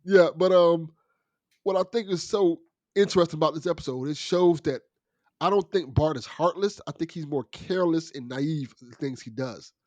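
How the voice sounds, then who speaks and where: Man, middle-aged, somewhat high pitch, casual podcast guest, no room echo. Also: normal speed, a little mellow. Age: 40s or older.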